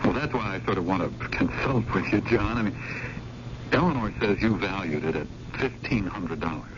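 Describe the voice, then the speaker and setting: An actor playing a nervous, eager, scheming younger brother who talks in hesitant, roundabout rambles in a radio drama. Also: male voice, low-pitched, lively intonation, moderate pace. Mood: nervous, hedging. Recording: lo-fi old radio recording with clear noise.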